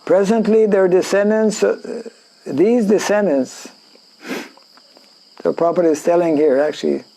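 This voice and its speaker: A man with a French Canadian accent, a native French speaker from Canada, reading and explaining scripture in a class, halting with fillers and frequent throat clearing. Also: high voice, warm tone, rough recording. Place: indoor class in a rural town.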